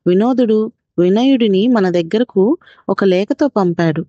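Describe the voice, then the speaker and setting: A woman voicing a thrifty, observant village husband who reasons in a measured way in a children's story. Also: mid-range voice, mellow, no room sound, dull audio, close to an everyday voice. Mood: calm, reflective.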